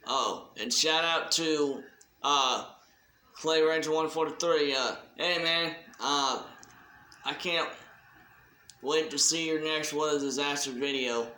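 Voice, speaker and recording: A man with a slightly high voice makes a casual, off-the-cuff announcement like a hobby video creator. He speaks slowly, in a halting and deliberate way. The recording has a noticeable room echo. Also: steady tone, bright, forceful tone. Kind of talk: casual unscripted shout-out announcement.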